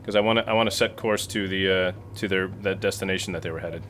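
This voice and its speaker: A man with a slightly low voice takes part in a tabletop game. He speaks casually and improvises, hesitating as he thinks aloud. Faint noise sits behind the voice. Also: steady tone, fast talker, fairly bright tone. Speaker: tabletop game player, playing the captain.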